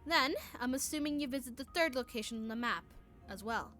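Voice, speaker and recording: A woman's voice: a little high, expressive, a little fast, fairly bright tone, faint music behind. A woman streamer reading game dialogue aloud during a live playthrough, often stumbling over words.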